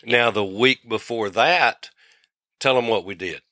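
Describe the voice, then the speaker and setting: A man in his sixties co-hosting a podcast in casual, folksy conversation, his voice lowered by the tail of a cold. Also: unhurried, bright, forceful tone, dry recording, clean studio sound. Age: 60s. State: getting over a cold, voice lower than usual. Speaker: podcast co-host.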